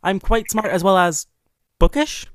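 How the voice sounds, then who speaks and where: A woman's voice: medium pitch, measured pace, no echo, clean studio sound. A woman speaking casually and hesitantly as a player on a role-playing game podcast. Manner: hesitant, unsure. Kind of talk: casual introduction.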